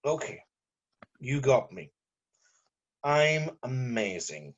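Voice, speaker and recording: A man's voice: medium pitch, big pitch swings, slow. Guy acting a sarcastic jaded reporter at a table read, voice goes up and down a lot, bit muffled. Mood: tipsy bravado. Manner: sarcastic, theatrical. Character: sardonic, jaded male newspaper journalist, drunk when young. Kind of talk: amateur script table read.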